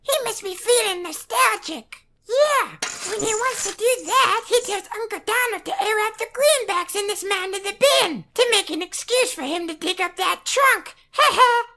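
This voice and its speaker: An actor voicing an excitable young cartoon duck nephew who tells stories earnestly and eagerly in a fan comic dub. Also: extremely high pitch, normal speed, hardly any pauses, heavily acted. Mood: cheerful. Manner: earnest, enthusiastic storytelling.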